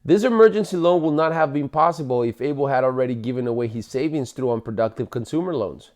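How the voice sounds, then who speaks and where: Mellow-voiced man reading aloud. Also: medium pitch, fast. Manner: explanatory, stumbles and corrects himself while reading. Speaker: parent reading aloud to children. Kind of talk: bedtime read-aloud of a book, with casual asides.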